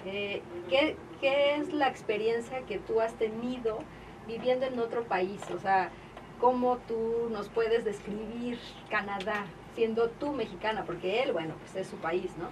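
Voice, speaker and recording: A female co-host on a talk show, asking quick questions and giving short affirmations. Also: a little high. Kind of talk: casual radio chat. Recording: distant mic with noise.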